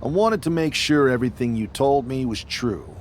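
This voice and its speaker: A determined, ambitious young pro wrestler acted by a man in a game story scene. His pitch is average, with faint noise underneath. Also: animated, normal speed, lightly acted. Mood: resolute. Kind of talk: scripted game cutscene dialogue.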